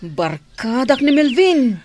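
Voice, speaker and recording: Easygoing nosy young ilocano gal, high voice, unhurried, radio drama. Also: female voice, very animated, darkish tone, slight background noise, full character voice. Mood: surprised. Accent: Ilocano Filipino. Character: inquisitive, easygoing young woman, the heroine's friend.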